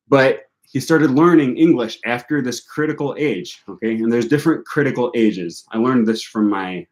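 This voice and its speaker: A man's voice: medium pitch, darkish tone. A young man from the United States with a northern US accent, hosting a language-learning channel and answering viewer questions in a rambling, self-correcting way with many fillers.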